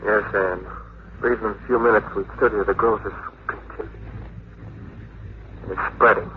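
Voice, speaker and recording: A man's voice: on the low side, fairly even intonation, normal speed, lightly acted. An actor playing an earnest male scientist in a 1950s radio drama, serious and dramatic as he tells of his discovery. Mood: ominous. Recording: hissy, tinny old radio recording.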